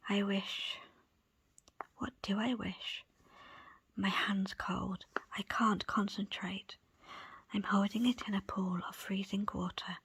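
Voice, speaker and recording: Slow whispering woman, ASMR reading. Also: mid-range voice, fairly even intonation, no room sound. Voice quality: breathy, unvoiced whisper. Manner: soft, unhurried, deliberate phrasing. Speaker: book presenter and reader.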